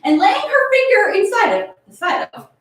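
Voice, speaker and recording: Very high, bright woman in echoey room. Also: fairly quick. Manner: theatrical, giggly, animated. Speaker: fan fiction reader and co-host. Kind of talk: dramatic comedic verse and story reading with banter. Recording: echoing room, distant mic, faint noise.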